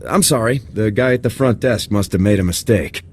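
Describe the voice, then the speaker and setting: An actor playing a calm, firm soldier hero in a video game, with a dry delivery. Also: male voice, fairly low pitch, normal speed, a little hiss or hum, close to an everyday voice. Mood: dry, confident. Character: composed, steely male soldier hero.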